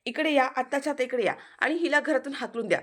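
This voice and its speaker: A woman's voice: sits high, fast, pitched above the performer's own voice. A woman voicing a hot-tempered young man. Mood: angry. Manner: defiant, quarrelsome shouting.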